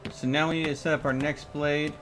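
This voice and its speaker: A man's voice: on the high side, level tone, brisk, background noise. A man giving casual live gameplay commentary as a streamer, thinking aloud in short, sometimes repeated phrases. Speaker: video game streamer.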